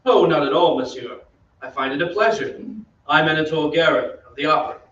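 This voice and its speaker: A male actor plays a courteous, self-assured opera singer telling a tale in old-time radio style. His voice sits a little high. It reaches the mic from a distance, muffled, over faint noise. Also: a little fast, a bit bright, roomy sound, close to an everyday voice. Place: theatre stage.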